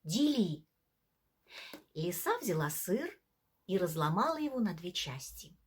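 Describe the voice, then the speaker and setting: A woman narrating a bedtime folk tale for children, theatrically, with hushed drops at the ends of phrases. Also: fairly low pitch, big pitch swings, moderate pace, slightly rough. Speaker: storyteller, theatre actress. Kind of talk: expressive scripted bedtime story narration for children.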